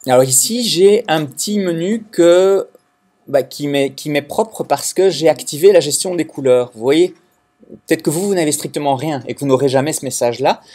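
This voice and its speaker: A husky-voiced man from Belgium lectures his students through a software lesson. His voice sits a little high, and he talks in a hesitant, explanatory way, often restarting his sentences. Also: normal speed. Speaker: teacher. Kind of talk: recorded classroom lecture.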